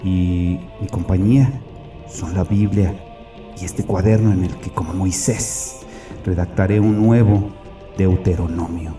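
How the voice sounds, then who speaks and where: Deep-voiced, brooding fanatical murderer. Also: male voice, steady tone, a little slow. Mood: brooding, penitent. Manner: brooding, solemn, confessional. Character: tormented fanatical male murderer hiding in a bunker. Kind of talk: dramatic first-person audio story monologue. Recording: echoey, distant, music behind.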